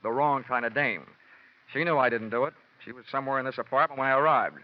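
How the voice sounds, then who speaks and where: An American man playing a wry, deadpan hardboiled insurance investigator in a 1950s-style radio detective drama. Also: mid-range voice, fast talker, natural voice. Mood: wry, defensive. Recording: muffled old radio broadcast.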